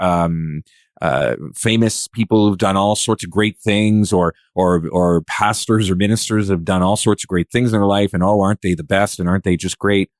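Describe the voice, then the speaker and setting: Deep-voiced man chatting, close and dry. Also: no echo. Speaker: podcast host. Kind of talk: casual discussion.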